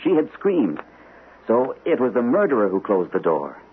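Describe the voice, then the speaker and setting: An actor playing an earnest captain confiding a troubling story, speaking deliberately in vintage radio drama style. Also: male voice, medium pitch, wildly swooping pitch. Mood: earnest, confessional. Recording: lo-fi old radio recording, faint hiss.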